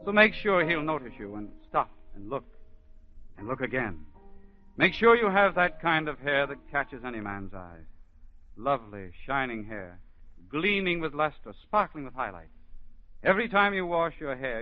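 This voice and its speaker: A man hosting a 1940s radio show, delivering a coaxing commercial pitch. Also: big pitch swings, normal speed, no echo. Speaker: host. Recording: dull 1940s radio broadcast.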